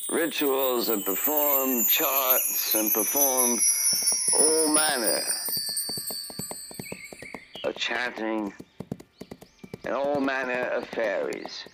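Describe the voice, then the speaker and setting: A man narrating a fantasy tale in radio-theatre style, grandiose and deliberate, with long pauses. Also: medium pitch, poor audio. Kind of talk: dramatic scripted fantasy storytelling, old radio theatre. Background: music under the narration.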